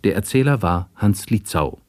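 A man reading scripted broadcast credits as an announcer, formal and measured. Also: lowish voice, animated, darkish tone, no room sound, studio quality.

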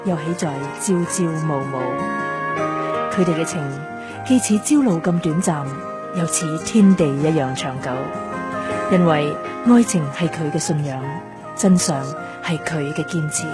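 A woman narrator with a Hong Kong Cantonese accent and a fairly low voice. She reads a poetic scripted drama introduction over loud music. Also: a little slow.

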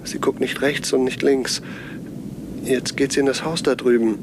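An actor playing a troubled, resolute scout in a radio play, speaking in a brooding, guarded, insistent way. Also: male voice, medium pitch, fairly even intonation, normal speed, lightly acted. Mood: watchful. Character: troubled, resolute male scout reporting from forbidden island. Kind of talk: German radio play acting. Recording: 1970s radio drama, noisy outdoor ambience.

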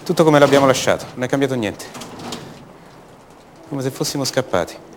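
An actor playing a bitter, restless grown son back in his family home, delivering a halting radio theatre monologue that repeats words and confides in the listeners. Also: medium pitch, animated, a little hiss or hum, natural voice. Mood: nostalgic, musing. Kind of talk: stage-play monologue acted for radio theatre.

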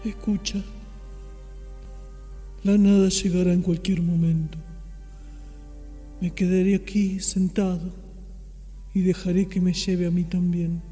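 A man playing a despairing gentle giant in a radio drama, soft-voiced, mournful and resigned. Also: sits high, little pitch movement, slow, pauses often. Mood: defeated, mournful. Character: despairing gentle giant with big strong hands. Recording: long reverb with string music.

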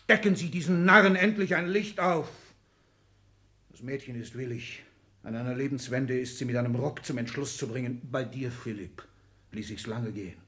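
A man's voice: medium pitch, normal speed, bright, forceful tone, lightly acted. A man acting in a 1960s German radio play as an ailing, domineering old industrialist, speaking in clipped, imperious declamation with sudden outbursts. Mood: scheming, commanding. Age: older. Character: ailing, domineering old industrialist patriarch lecturing his children.

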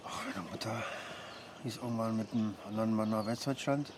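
An actor playing an ailing, drowsy older man who mutters haltingly in a naturalistic radio drama. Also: fairly low pitch, close to an everyday voice. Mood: resigned. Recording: noisy outdoor ambience.

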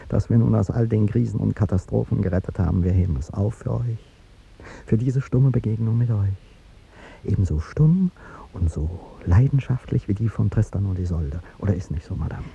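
Ageing statesman, courtly and rhetorical, very dark and husky, in a muffled vintage radio play. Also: lowish voice, exaggerated voice. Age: older. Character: ageing male president, courteous, wistful, grandiloquent café guest. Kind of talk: 1950s German radio drama acting. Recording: muffled old 1950s radio recording.

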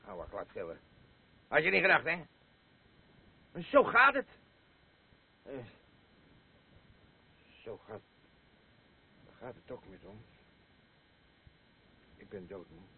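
An actor playing a boastful, crude and rambling drunken old war veteran in a radio play, with a husky voice. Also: male voice, medium pitch, huge pitch swings, noisy background, full character voice. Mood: musing, weary. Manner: slurring, rambling, halting, blustering. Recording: muffled lo-fi radio play recording.

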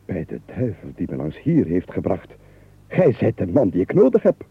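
An actor playing a rich, haughty yet amused nobleman in a comic radio play, speaking formally and emphatically with a husky voice. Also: sits low, wildly swooping pitch, normal speed, heavily acted. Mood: amused, exasperated. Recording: muffled narrow-band 1980s radio recording.

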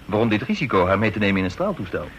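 Inquisitive, low-voiced army major. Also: male voice, big pitch swings, very fast, fairly bright tone. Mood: needling. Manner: probing, insinuating. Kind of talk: radio drama acting. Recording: dull, noisy old radio drama recording.

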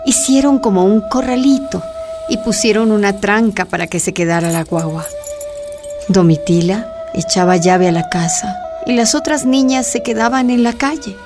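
A woman's voice: mid-range voice, moderate pace, music in the background. A woman narrating a scripted radio drama as storyteller.

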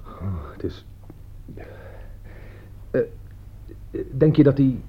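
An actor playing a bitter, sardonic man in a radio play, husky, pointed and halting. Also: medium pitch, sing-song. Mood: tense, hushed. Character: bitter, sardonic man who warned his friend. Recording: dull lo-fi radio play recording.

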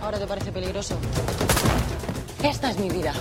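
A woman's voice: mid-range voice, fast talker, close to an everyday voice. An actress playing a tough, determined young undercover policewoman in a film drama. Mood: bitter, intense. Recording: film clip with very loud music.

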